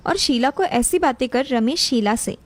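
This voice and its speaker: A woman's voice: high-pitched, expressive, normal speed. A woman narrator reading a scripted cartoon family story.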